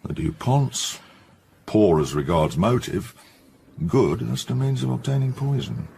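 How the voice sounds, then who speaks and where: An actor playing a gruff, no-nonsense middle-aged English chief inspector in a radio detective drama, blunt and colloquial. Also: male voice, medium pitch, sing-song, mellow, slight background noise. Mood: summing up. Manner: blunt, colloquial, brisk summing-up.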